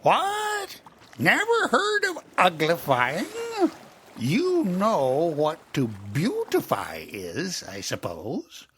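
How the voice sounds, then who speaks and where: A man's voice: mid-range voice, wildly swooping pitch, takes it slowly, fairly bright tone, slight background noise, voice pushed up, heavily acted. A man voicing a brusque, impatient winged male beast who scolds and barks orders. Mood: scolding.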